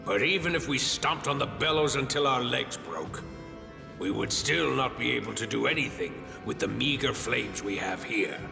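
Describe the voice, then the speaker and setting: An actor playing a gruff, loyal veteran blacksmith in a fantasy game, with a gravelly voice and solemn, formal delivery. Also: male voice, mid-range voice, expressive, normal speed. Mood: solemn, resolute. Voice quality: gravelly, creaky. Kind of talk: fantasy video game voice acting. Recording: echoey hall reverb, music behind.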